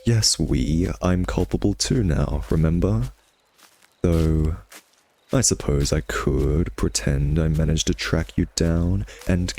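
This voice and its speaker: Very deep, teasing male vampire, acted by a man. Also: creaky, darkish tone, heavily acted. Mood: mocking, playful. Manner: teasing, sardonic, taunting. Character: cocky, teasing male vampire, bored and sardonic nemesis. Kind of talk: ASMR roleplay audio drama, intimate address to listener.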